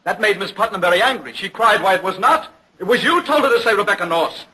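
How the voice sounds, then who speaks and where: An actor playing an earnest, indignant young son giving insistent testimony in an old radio drama. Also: male voice, high voice, expressive, fast talker, bright, forceful tone, slightly rough, heavily acted, rough recording. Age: young adult. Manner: insistent, emphatic. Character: earnest, indignant young son defending his accused mother.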